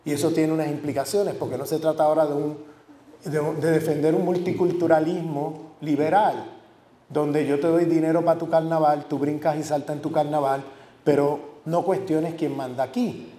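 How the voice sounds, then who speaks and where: A man's voice: fairly high pitch, fairly even intonation, moderate pace, mellow. A man, a university professor, giving an academic lecture with emphasis, repeating and listing words for stress. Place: lecture hall. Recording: echoey lecture hall.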